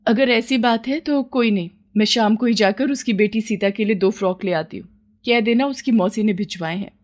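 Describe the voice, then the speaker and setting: A woman's voice: medium pitch, normal speed, natural voice. A woman playing an emotional, guilt-laden housewife and mother in a story, speaking earnestly. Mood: pleased.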